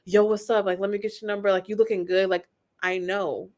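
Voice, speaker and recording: A woman talks frankly on a podcast at a middle pitch. She is blunt and swears freely. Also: little pitch movement, a little fast, darkish tone. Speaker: podcast co-host. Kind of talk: casual chat.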